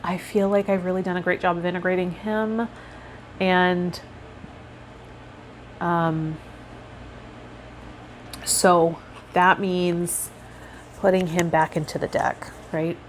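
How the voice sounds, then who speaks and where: A woman vlogging casually and reflectively to her viewers, rambling a little and pausing mid-thought, with some guided meditation cues. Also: lowish voice, level tone, a little hiss or hum, low-quality recording. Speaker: host of a tarot vlog and healer.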